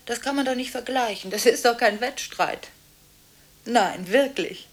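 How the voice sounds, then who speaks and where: An actress playing a restless, contradictory woman on the phone late at night in a radio drama, halting and anxious, shifting between hushed and insistent. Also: on the high side. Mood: reassuring. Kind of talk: radio monodrama acting, one side of phone conversations.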